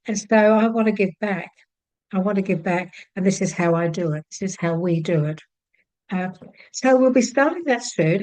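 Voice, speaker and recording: A woman's voice: medium pitch, dry recording, rough recording. Chatty older Australian woman, dry close sound. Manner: chatty, warm-hearted, many fillers and restarts. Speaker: psychic channel host. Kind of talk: casual talk to camera. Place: home room with shelves of card decks.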